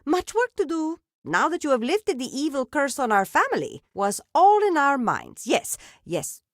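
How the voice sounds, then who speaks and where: A woman's voice: high voice, very animated, moderate pace, no echo, clean studio sound. A woman voicing a strict, proud, middle-aged circus matriarch with a theatrical lilt in a video game. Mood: commanding. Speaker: voice actress.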